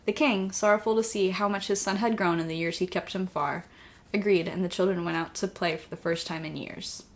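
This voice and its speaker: An actress playing a cocky but caring young vigilante in a naturalistic audio drama, with casual, teasing delivery. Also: female voice, lowish voice, steady tone, brisk, close to an everyday voice. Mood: storytelling. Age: young adult. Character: cocky, caring young woman, college-age masked vigilante.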